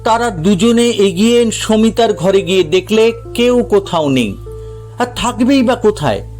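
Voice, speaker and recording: A man narrating a scripted dramatic story in a measured, literary storytelling manner. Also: sits high, animated, darkish tone, faint music behind.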